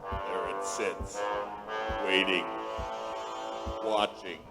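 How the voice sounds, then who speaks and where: An actor playing an ominous horror anthology host, narrating dramatically in the manner of a radio horror intro. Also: male voice, sits low, flat delivery. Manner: slow, dramatic, menacing. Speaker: announcer and series narrator. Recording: loud music behind the voice. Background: loud dramatic music.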